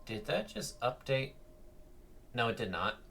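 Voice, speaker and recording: A woman's voice: rumbling low, sing-song, a little slow, fairly bright tone, slight background noise. A woman giving casual, playful reaction commentary on a game, with dry asides.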